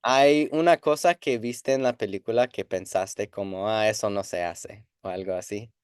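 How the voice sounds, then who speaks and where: A man's voice: lowish voice, clear, resonant tone, no echo, studio quality. A man interviewing a guest in a casual chat, friendly and encouraging. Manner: friendly, encouraging, quick reactions. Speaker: interviewer, Spanish teacher.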